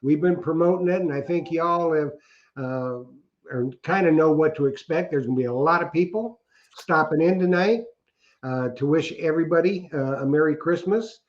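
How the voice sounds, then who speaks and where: A man co-hosts a casual chat show, rambling along in a folksy way. His voice sits a bit high and comes through dull and lo-fi. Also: normal speed. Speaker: co-host.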